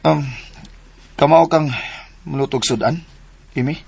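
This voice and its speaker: Casting: young bandsman, easygoing yet clingy, rough husky voice, close dry sound. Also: on the high side, measured pace, darkish tone, no room sound, full character voice. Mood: casual, clingy. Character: clingy, easygoing young band musician, male. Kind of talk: radio drama acting.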